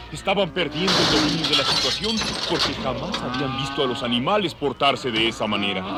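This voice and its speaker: A man narrating a dramatized novel in a scripted storytelling style. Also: mid-range voice. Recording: farm animal noise behind.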